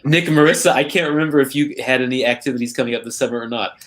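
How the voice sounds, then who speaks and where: Casual male host, medium pitch, halting delivery with repeated and reworked phrases. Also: a bit bright. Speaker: host, opera maestro. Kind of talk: casual group chat, hosting.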